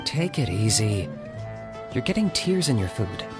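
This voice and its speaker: A lowish, sensible young warrior. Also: male voice, big pitch swings. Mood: dry, observant. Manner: measured, matter-of-fact, gently chiding. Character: sensible, caring young male friend and warrior. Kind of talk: video game voice acting. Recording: music behind the voice. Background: music.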